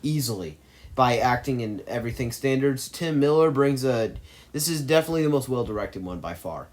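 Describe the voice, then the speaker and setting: A man's voice: medium pitch, animated, brisk, a little mellow. A man in an opinionated movie commentary, arguing his case emphatically and rambling through restarts.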